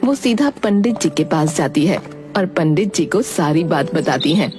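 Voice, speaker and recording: A woman narrates a children's story in a scripted storybook style. Music plays clearly behind her voice throughout. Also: lowish voice, animated, normal speed.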